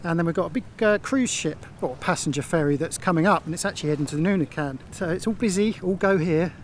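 A man's voice: fairly high pitch, fast, a little hiss or hum. A man in his fifties chatting casually to camera outdoors on a sailboat deck, wearing a clip-on mic. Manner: relaxed, chatty commentary. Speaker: sailing vlogger. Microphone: small clip-on mic.

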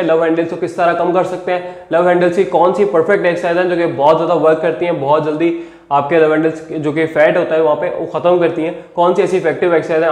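A man's voice: fairly high pitch, darkish tone. A young man, a fitness trainer talking earnestly on a lapel mic and repeating his points for emphasis. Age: young adult. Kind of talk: home workout vlog. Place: small bare room indoors. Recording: echoey bare room.

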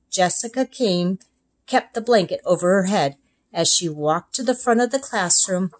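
Female kindergarten read-aloud narrator, unhurried, expressive, medium pitch, carefully phrased for young listeners. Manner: expressive, clearly separated phrases for young children. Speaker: kindergarten teacher reading aloud.

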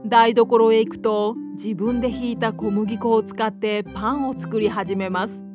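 A woman's voice: fairly high pitch, steady tone, a little slow, over music. A woman reading a scripted children's storybook narration.

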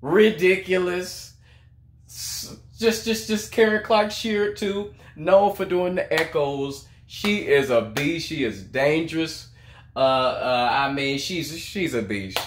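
Young adult male reaction host, higher-pitched voice, effusive and repetitive, casual delivery, recorded in an echoey room at home. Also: slow, slight background noise. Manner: effusive, emphatic, repeats words and phrases. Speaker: reaction video host, singer. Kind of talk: casual music reaction commentary. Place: small room at home, indoors.

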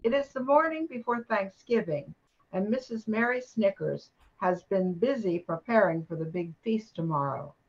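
A woman's voice: mid-range voice, a bit bright, dull audio. An older woman announcing and narrating a scripted amateur radio play.

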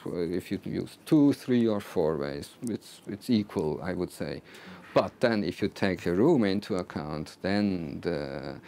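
A man in his sixties presenting technical material to a small group, phrasing deliberately with repeated words and hesitations. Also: low-pitched, lively intonation, creaky, mellow. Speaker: loudspeaker designer presenting. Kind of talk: technical talk to a small audience. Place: small recording studio control room with seated audience.

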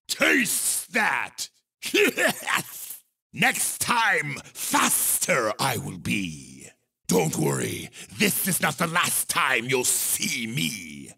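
Evil cartoon komodo dragon, male, boastful, raspy growl, wildly swooping pitch, video game villain. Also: huge pitch swings, very slow, exaggerated voice. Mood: gloating, menacing. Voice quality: gravelly, raspy. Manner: exaggerated, gloating, shouted exclamations. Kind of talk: video game character voice clips.

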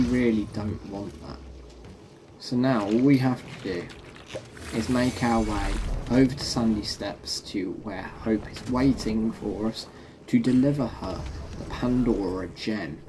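A man's voice: medium pitch, a little slow. A young man giving casual commentary over a game, chatting to viewers. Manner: chatty, addresses viewers as folks. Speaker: gaming YouTuber. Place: home room, facecam. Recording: background noise.

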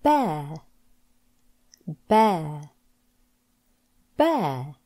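A woman English teacher reading scripted pronunciation practice with deliberate, careful enunciation. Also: low-pitched, sing-song, mellow. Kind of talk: scripted lesson narration, reading words for practice.

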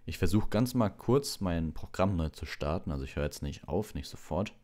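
Male livestream story reader, extremely low voice, relaxed and casual. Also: normal speed. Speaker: audiobook reader, livestream host.

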